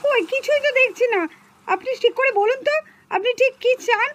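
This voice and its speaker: A woman's voice: very high-pitched, a little fast, fairly bright tone. A woman voicing a frightened young wife, pleading and crying out for help. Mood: suspicious. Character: frightened young wife, trusting then desperate. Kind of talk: amateur home-video drama.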